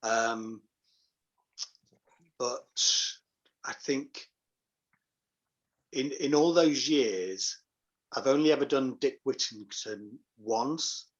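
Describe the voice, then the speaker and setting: A man's voice: medium pitch, extremely slow, pauses often, lo-fi sound. A middle-aged or older man chatting casually and enthusiastically as an interview guest, repeating phrases for emphasis. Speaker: pantomime dame, interview guest. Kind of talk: casual chat.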